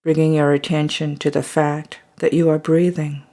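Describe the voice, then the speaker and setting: Soft, slow meditation guide. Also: mid-range voice. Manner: slow, soft and calm, trailing into whispers at phrase ends. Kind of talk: guided body scan meditation.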